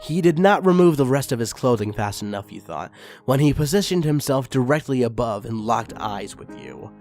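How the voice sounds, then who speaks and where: Dude reading a fanfic out loud, pretty expressive, mid voice, quiet music in back. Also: male voice, mid-range voice, moderate pace. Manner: expressive, swells on intense passages. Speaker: fan fiction reader. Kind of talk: dramatic reading of fan fiction.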